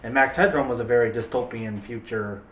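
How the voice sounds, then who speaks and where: A man's voice: mid-range voice, brisk, a bit bright, muffled recording, a little hiss or hum. A man hosting a movie discussion show in a casual, chatty and opinionated way. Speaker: host of a movie review show. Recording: echoey room.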